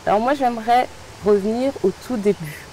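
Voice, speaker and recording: A woman's voice: on the high side, a little fast, slight background noise, low-quality recording. Admiring woman interviewer. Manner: warm, admiring, repetitive phrasing. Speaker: interview host. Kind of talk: interview conversation.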